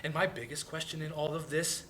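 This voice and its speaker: An actor's frustrated young brother, bright edgy voice. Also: medium pitch, fairly even intonation, brisk, close to an everyday voice. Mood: angry, exasperated. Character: frustrated young man, protective brother, hurt and blunt. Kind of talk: dramatic play reading.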